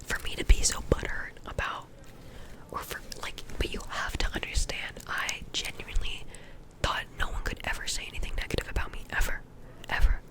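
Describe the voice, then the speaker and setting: A young woman getting over a cold, softly telling rambling, self-deprecating stories from her school days in an ASMR whisper. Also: brisk, rough recording. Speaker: vlogger.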